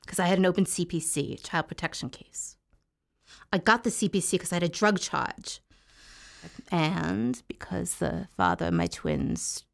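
An actress playing an incarcerated mother who talks in a halting, weary way with wry jokes. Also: lowish voice, creaky, a bit bright, full character voice. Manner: halting, weary, wryly joking. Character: incarcerated mother fighting to see her kids. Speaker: inmate. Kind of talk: audio drama acting.

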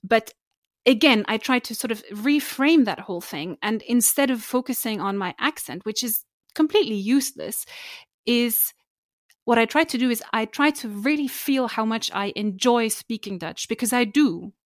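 A woman's voice: fairly high pitch, lively intonation, moderate pace, dry recording, studio quality. A woman with a German accent, answering interview questions in a thoughtful, hesitant way with frequent restarts. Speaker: guest. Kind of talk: reflective interview conversation.

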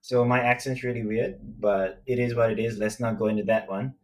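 A man from Sri Lanka co-hosting a casual podcast over a remote call, easygoing and quick to laugh. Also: medium pitch, fairly even intonation, a little mellow. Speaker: podcast co-host.

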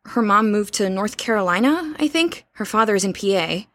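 A woman's voice: medium pitch, animated, moderate pace, a bit bright, natural voice. An actress playing an anxious, insistent young woman with an urgent, direct manner in an audio drama. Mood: earnest. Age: young adult. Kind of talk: naturalistic audio drama acting.

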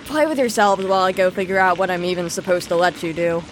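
An actress playing a brisk, sarcastic woman who runs a psychiatric facility in an audio drama. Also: on the low side, a little hiss or hum, lightly acted. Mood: unsure, breezy. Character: bossy, breezy woman running a psychiatric patient facility.

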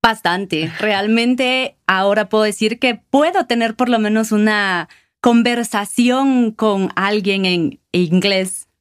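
Invitada guatemalteca, de acento guatemalteco, que habla de manera pausada y reflexiva, con titubeos ocasionales. La grabación es seca, sin reverberación. Su voz se oye cercana al micrófono. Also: female voice, medium pitch, studio quality. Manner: measured, with hesitation fillers. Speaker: guest, sales manager. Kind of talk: interview answers.

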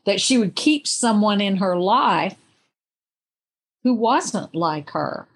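A woman with a mid-pitched voice talks at a very slow pace. She sounds like a guest in a reflective book conversation, offering her own reading of the fiction. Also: animated, breathy edge. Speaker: podcast guest, reader.

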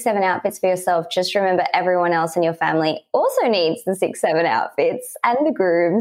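A woman's voice: on the low side, darkish tone. A young woman with an Australian accent, chatting as a podcast guest and telling her story in an upbeat way, laughing often. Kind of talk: casual podcast interview.

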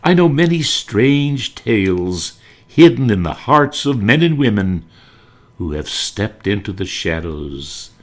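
A man voicing a sinister, all-knowing storyteller of radio mystery, hushed, drawn-out and ominous. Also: fairly low pitch, very animated, pitched below the performer's own voice. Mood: ominous, secretive. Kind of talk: 1940s radio mystery acting.

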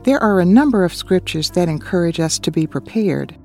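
Calm low-voiced woman reading scripture. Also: faint music behind. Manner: calm, deliberate citing of verses. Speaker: radio Bible study co-host.

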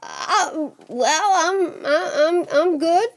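A woman's voice: high voice, animated, measured pace, dry recording. A woman voicing a nervous, boyish young man in a folktale, crafty but hesitant and stammering. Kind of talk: live oral storytelling.

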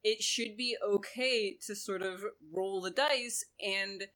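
A woman co-hosting a podcast, chatty and quick to laugh, with lots of "like" fillers. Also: mid-range voice, fairly bright tone. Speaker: podcast co-host. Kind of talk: casual discussion podcast.

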